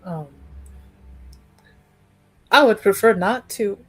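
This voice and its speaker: A woman playing a dutiful young noblewoman in a tabletop game, speaking formally and with measured, dryly wry wording. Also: medium pitch, a bit bright, husky, no room sound. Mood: reassuring, resigned.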